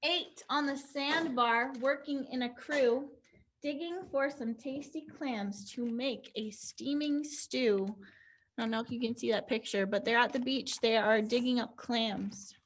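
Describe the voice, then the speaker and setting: A woman's voice: a little high, a little slow, slight background noise. A young woman teacher leading a pantomime activity online, upbeat and encouraging as she explains each step.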